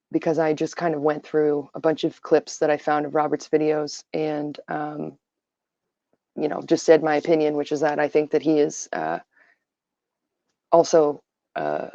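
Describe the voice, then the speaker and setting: Tired raspy-voiced woman, gravelly. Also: deep voice, dark tone, no echo. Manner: opinionated, many fillers. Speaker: livestream host. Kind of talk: casual livestream talk. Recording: dull lo-fi stream audio.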